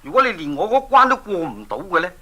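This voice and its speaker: A taunting, hearty island king in a radio play, speaking with a Hong Kong Cantonese accent. The voice is bright and forceful with a husky grain. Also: high-pitched, sing-song, fast, exaggerated voice. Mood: boastful, taunting. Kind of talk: 1980s Cantonese children's audio drama.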